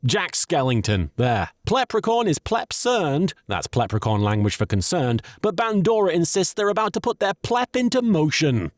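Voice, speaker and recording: A man hosts a comedic recap in a fairly high, very animated voice. He is wry and wordy, dropping tongue-in-cheek asides into his scripted commentary. The recording is dry, with little room sound. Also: normal speed, dry recording. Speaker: host of a show recap and review.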